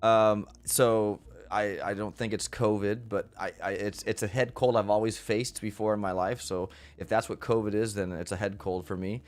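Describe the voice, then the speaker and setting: A man's voice: lowish voice, fairly even intonation, normal speed, few pauses. Upbeat congested man hosting a stream. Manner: upbeat, rambling, many fillers and restarts. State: congested head cold. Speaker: streamer host. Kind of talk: casual live stream chat.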